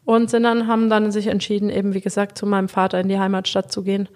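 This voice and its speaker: A woman's voice: mid-range voice, monotone, fast, darkish tone. A woman in her thirties, guest in a podcast interview, telling her story in a chatty way and laughing often. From Germany. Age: 30s. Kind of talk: casual interview chat.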